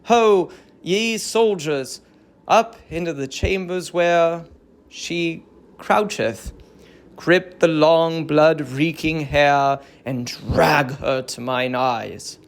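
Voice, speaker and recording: A performer voicing a vengeful, tormented Greek king, declaiming halting archaic verse in a dramatic reading of a tragedy. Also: medium pitch. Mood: bitter, wrathful.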